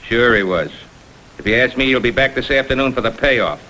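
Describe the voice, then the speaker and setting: A man's voice: medium pitch, expressive, brisk, pushed and bright, slight background noise, poor audio. An American man playing a hard-nosed, sceptical police lieutenant in a vintage TV crime drama, blunt and terse. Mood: sardonic. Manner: blunt, terse, world-weary.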